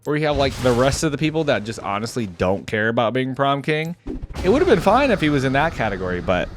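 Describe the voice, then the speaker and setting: A man's voice: mid-range voice, big pitch swings, fast, background noise. A man giving casual, off-the-cuff reaction commentary, like a video creator talking through what he sees.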